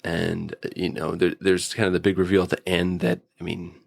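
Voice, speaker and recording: A man's voice: low-pitched, level tone, moderate pace, gravelly, dry recording. A man co-hosting a casual, joking review chat, riffing with playful exaggeration. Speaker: podcast co-host reviewing TV episodes.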